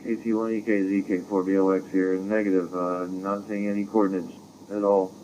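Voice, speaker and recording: An American man explaining things in a measured, casual way as an amateur radio operator, over a digital radio transmission. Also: low-pitched, level tone, warm tone, dull audio. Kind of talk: casual technical radio exchange. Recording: faintly noisy digital radio audio.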